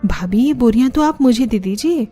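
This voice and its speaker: Woman voicing a high-voiced resourceful young daughter-in-law. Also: lively intonation, normal speed, warm tone, soft background music. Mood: eager. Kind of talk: Hindi cartoon story dialogue.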